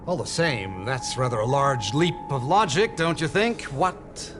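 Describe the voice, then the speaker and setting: A man plays a stern intelligence chief for a video game. He sounds formal, measured and drily skeptical, at a middle pitch. Music runs clearly under the voice. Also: lively intonation, close to an everyday voice. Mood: skeptical, dry. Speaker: superior officer. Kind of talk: video game cutscene acting. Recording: game dialogue over background music.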